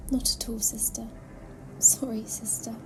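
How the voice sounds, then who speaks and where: An actress playing a timid, tender-hearted junior alien nun in a sci-fi audio drama, meek and apologetic. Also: female voice, a little high, flat delivery, measured pace, in a whisper, music in the background, close to an everyday voice. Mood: meek, anxious.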